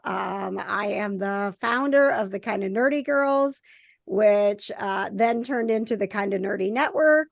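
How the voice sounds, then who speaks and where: A woman's voice: medium pitch, vocal fry, no echo, studio quality. A woman guest in a casual chat, rambling with many fillers. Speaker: guest, community founder.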